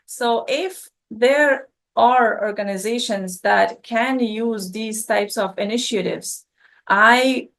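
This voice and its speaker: A woman's voice: on the high side, takes it slowly, vocal fry, a bit bright. A young woman from Afghanistan, a refugee student, answering interview questions with many fillers and restarts. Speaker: scholarship student guest.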